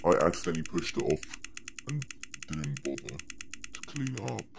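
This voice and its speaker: A man voicing an evasive male murder suspect in a horror game, giving slow, flat answers. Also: rumbling low, vocal fry, background noise, exaggerated voice.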